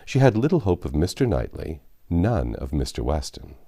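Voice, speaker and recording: A man narrating a classic novel aloud as an audiobook reader, with measured, expressive literary phrasing. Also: low-pitched.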